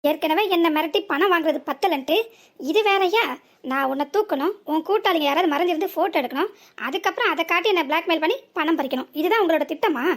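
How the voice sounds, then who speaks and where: A woman voicing a suspicious male car driver who scolds and accuses loudly in an animated story. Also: high voice, quick pace, lightly acted. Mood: accusing. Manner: loud, scornful and accusing.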